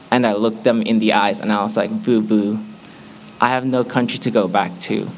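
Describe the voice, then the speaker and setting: A man's voice: mid-range voice, a little hiss or hum. A young man from Malaysia, a student giving an earnest, candid personal testimony to a group, with playful asides to the audience. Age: young adult.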